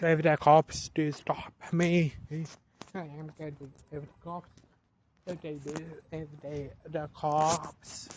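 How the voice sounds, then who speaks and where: An eighteen-year-old man vlogging outdoors at night, talking to a handheld camera, slow and repetitive, often restarting his sentences. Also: on the high side, a little hiss or hum. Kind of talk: casual vlog narration.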